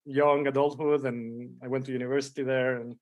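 A man's voice: medium pitch, little pitch movement, a little fast. A man in his forties from Mexico and Israel with a Spanish accent, talking casually as a podcast guest. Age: 40s. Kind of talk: casual interview conversation.

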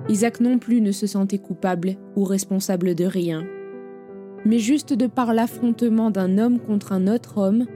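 A woman narrates an audio drama in a measured, grave way. Her voice is mellow and dark, at a middle pitch for a woman. Soft music plays faintly behind her.

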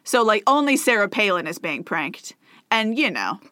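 A woman's voice: medium pitch, sing-song, moderate pace, pushed and bright, no echo, studio quality. A woman co-hosting a podcast in casual, sarcastic chat, laughing often. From United States. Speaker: podcast co-host.

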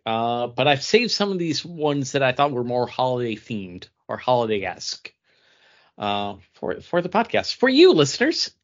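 A man co-hosting a podcast, talking in a casual, conversational style. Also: mid-range voice. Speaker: podcast co-host. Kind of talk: casual chat. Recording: dull lo-fi audio.

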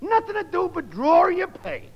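An actor playing a sour, accusing middle-aged working-class pal in a 1950s radio comedy, with a raspy, creaky voice. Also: male voice, extremely high pitch, wildly swooping pitch, moderate pace, exaggerated voice. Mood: scornful, accusing. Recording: muffled, echoing old radio broadcast.

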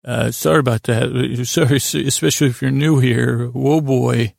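Guy rambling on a bedtime podcast, super creaky voice, trips over his words, dry close sound. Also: medium pitch, steady tone, normal speed, darkish tone, no room sound. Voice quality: gravelly, creaky voice. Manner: meandering, stumbling and stuttering, trailing off mid-word. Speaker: podcast host. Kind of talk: rambling improvised bedtime-story podcast monologue.

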